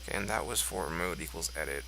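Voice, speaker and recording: A man's voice: sits low, fast, vocal fry, noisy background. A congested man thinking aloud as he walks through code on screen, musing and offhand. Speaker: code reviewer. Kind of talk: screen-recorded code walkthrough.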